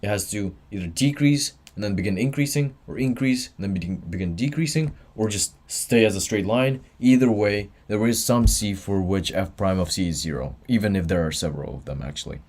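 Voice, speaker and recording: A man's voice: on the low side, a little fast, hardly any pauses, darkish tone. A tired young man running a livestreamed exam review, talking offhand and answering chat between explanations. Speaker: student tutor.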